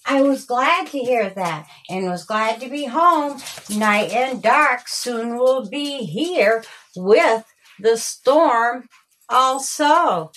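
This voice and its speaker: A woman narrating a rhyming children's story in a deliberate, singsong storytelling manner. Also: a little high, animated, fairly bright tone, breathy edge. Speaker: author reading own story.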